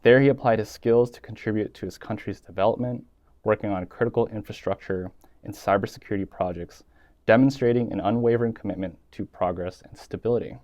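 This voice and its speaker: A young man hosts a podcast from a small studio room, with a formal and earnest delivery. His voice sits low. The sound is dry and close, with no room echo. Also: a little fast, a little mellow, muffled recording. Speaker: podcast host. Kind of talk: scripted intro then interview questions. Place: small dim studio room, seated in armchair.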